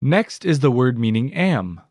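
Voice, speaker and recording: A man's voice: mid-range voice, very animated, a little slow, a little mellow, no room sound, studio quality. A man narrating a language lesson audiobook, announcing each word in a fixed scripted pattern.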